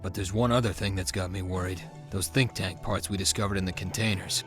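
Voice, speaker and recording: A man's voice: low-pitched, fairly even intonation, a little fast, music in the background, lightly acted. A man playing a doubtful special-ops agent in a video game, questioning the evidence. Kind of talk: English dub acting in a video game cutscene.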